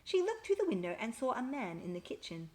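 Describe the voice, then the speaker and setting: A woman reading a children's picture book aloud with expressive, emphatic storytelling. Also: a little high, fairly quick.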